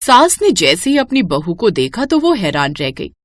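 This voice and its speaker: Animated woman storyteller, dry recording. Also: mid-range voice, moderate pace, dry recording. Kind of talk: scripted animated story narration.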